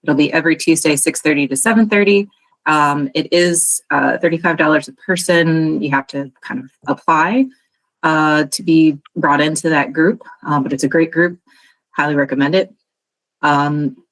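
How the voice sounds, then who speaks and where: A woman's voice: low-pitched, a bit bright, no echo, low-quality recording. A woman giving friendly meeting updates over a call, with many fillers. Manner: friendly, filler-heavy listing of events.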